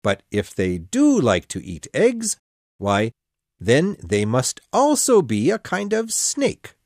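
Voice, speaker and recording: A woman puts on a fretful storybook pigeon, shrill and accusing, stubbornly sure of himself. Delivered slowly, with the pitch swinging widely, set a little apart from the usual voice and recorded dry. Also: wildly swooping pitch, darkish tone, no echo, exaggerated voice. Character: suspicious fretful male pigeon bird.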